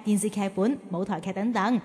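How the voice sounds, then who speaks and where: A woman playing a caring, gently chiding big-sister teacher who hosts a children's radio drama. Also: mid-range voice, a little fast, husky, no room sound, close to an everyday voice. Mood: explanatory. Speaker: host and teacher.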